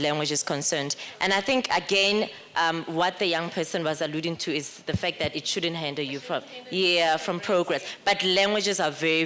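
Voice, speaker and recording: A woman's voice: on the low side, fairly bright tone. Hesitant woman moderator. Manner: thoughtful, hesitant with many fillers. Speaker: panel moderator. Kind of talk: panel discussion moderation. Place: festival panel event.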